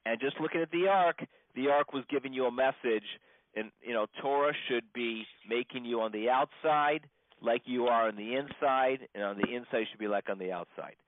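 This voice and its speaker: A man, a rabbi, giving an informal religious lesson in a halting, conversational way, repeating points for emphasis. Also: medium pitch, dry recording. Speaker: rabbi teaching a class. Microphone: phone. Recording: muffled band-limited phone conference call.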